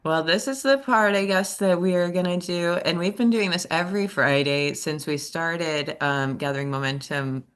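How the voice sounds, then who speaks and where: Low-voiced chatty woman host. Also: moderate pace, few pauses, smooth. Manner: chatty, enthusiastic, rambling. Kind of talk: casual live stream hosting.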